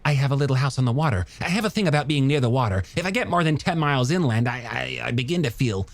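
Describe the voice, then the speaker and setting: A man voicing an eager, chatty, self-deprecating younger man in an audiobook, with halting, animated delivery. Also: mid-range voice, dry recording, pitched above the performer's own voice, close to an everyday voice. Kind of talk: audiobook character dialogue.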